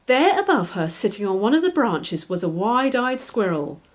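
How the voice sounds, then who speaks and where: Animated woman reading a children's story. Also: medium pitch, normal speed. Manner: expressive, clearly phrased for children. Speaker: children's author, reader. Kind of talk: scripted storybook narration.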